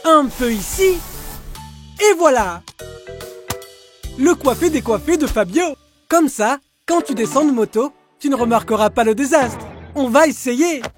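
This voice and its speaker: A woman's voice: high-pitched, lively intonation, measured pace, pauses often. A woman voicing a proud, flamboyant male hairdresser dog in a children's cartoon, theatrical and self-assured. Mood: breezy, proud. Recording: music behind the voice.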